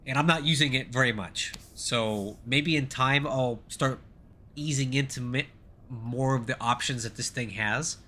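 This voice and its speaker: A man's voice: medium pitch, measured pace, pushed and bright, slight background noise. Middle-aged man with a stuffy, nasal voice. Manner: chatty, rambling, thanks viewers. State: nasal and congested, getting over flu. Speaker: flight sim streamer. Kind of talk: casual live-stream commentary.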